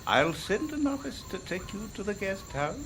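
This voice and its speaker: An actor playing a gentle, obliging monk in a radio drama, soft-spoken and courteous. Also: male voice, high voice, sing-song, moderate pace, a little hiss or hum, exaggerated voice. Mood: welcoming.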